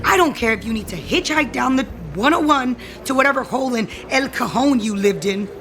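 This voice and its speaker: A woman's voice: high voice, lively intonation, brisk, background noise. An actress playing a bitter, threatening wife in an audio soap opera, cutting and commanding as she confronts her rival. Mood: scornful.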